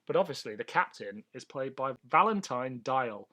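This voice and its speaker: A man's voice: medium pitch, animated, normal speed, pushed and bright. A man co-hosting a podcast, talking through a review in a casual, jokey, sweary way. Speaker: podcast host. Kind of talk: casual chat, review discussion.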